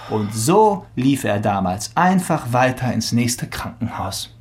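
A man's voice: medium pitch, sing-song, slow pace. A man playing an eager, teasing young police detective in a naturalistic German radio crime drama, with a wry, conversational delivery. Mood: pressing.

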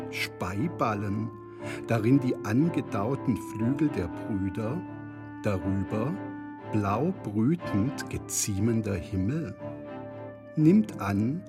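A man reciting dense poetry as a solemn reader in a literary radio play. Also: lowish voice.

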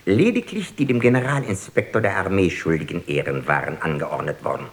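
A man's voice: sits low, animated, a little fast. An actor playing a deferential male court official in a radio drama, reporting in a formal, precise and ceremonious manner. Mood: solemn, reporting.

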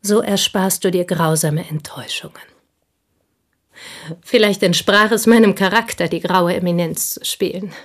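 An actress playing a plain, bitter woman in a radio play, confiding old envy in an intense, intimate way that often slips into breathy whispers. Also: fairly low pitch, moderate pace, natural voice. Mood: bitter, reflective. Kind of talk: German radio play dialogue, intimate monologue.

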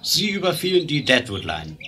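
A man's voice: mid-range voice, wildly swooping pitch, normal speed, sharp tone, slight background noise. An actor playing a stern, commanding western lawman in a radio play. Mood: insistent, sober. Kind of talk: German 1970s western radio play acting.

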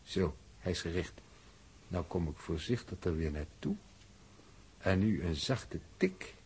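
An earnest, absurdly sure spaceship crewman in a vintage radio serial. His low voice is markedly husky. He explains himself pedantically and deliberately. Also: animated, darkish tone, heavily acted. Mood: confident, pedantic. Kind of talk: 1960s radio drama acting.

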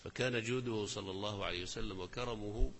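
A man teaching a lesson, explaining lines of poetry and reciting verses slowly with emphasis. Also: fairly low pitch, fairly bright tone, big-room sound, a little hiss or hum. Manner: slow, deliberate recitation of verses with rising emphasis.

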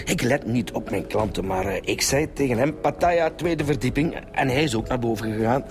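An actor playing a fussy, flustered Flemish hotelkeeper, stammering, rambling and self-pitying, in a radio drama. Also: male voice, medium pitch, moderate pace, over music, natural voice. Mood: eager rambling. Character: flustered, fussy male keeper of a seedy hotel. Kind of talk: naturalistic radio drama acting.

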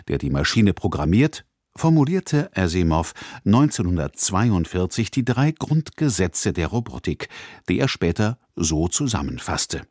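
A man presenting on radio, reading a scripted introduction in a measured, articulate way. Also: low-pitched, a little mellow, dry recording, studio quality. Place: dry broadcast studio.

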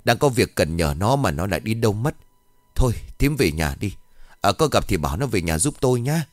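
A man's voice: on the low side, big pitch swings, a little fast, no room sound, faint music behind, voice pushed up. A man voicing a frantic middle-aged village mother who panics and calls out for her son. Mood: flustered. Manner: panicked, wailing, calling out.

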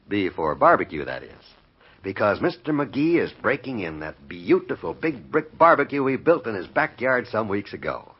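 Male 1950s radio announcer, animated delivery, husky tone, dull archival recording. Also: fairly low pitch, normal speed.

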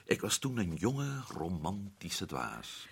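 A man's voice: low-pitched, big pitch swings, slow, vocal fry, husky, heavily acted. An actor playing a sardonic middle-aged man, back from years of adventure abroad, in an old radio play. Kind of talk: radio drama acting.

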